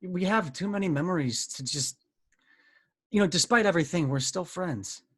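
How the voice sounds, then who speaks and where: A man's voice: sits high, normal speed, slightly rough. A man acts a level-headed young peacemaker of college age. He speaks as a friend among friends, in a staged play reading. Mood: placating.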